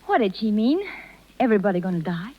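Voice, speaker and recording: A plain-spoken, proud young show girl of 1930s radio drama. She talks in breezy slang with a very animated pitch. The sound is muffled, vintage and lo-fi. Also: quick pace. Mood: wry, chatty. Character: brash, slangy young circus rider woman, injured and proud. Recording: dull, lo-fi 1930s radio recording.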